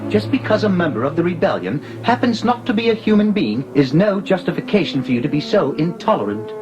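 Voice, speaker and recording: A man's voice: a little high, big pitch swings, a little fast. An actor voicing a fussy, prim male protocol droid who speaks formally. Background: music.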